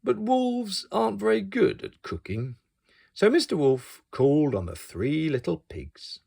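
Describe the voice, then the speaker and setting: A man reading a picture book aloud to young children as an expressive storyteller. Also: a little high, unhurried, vocal fry, breathy edge.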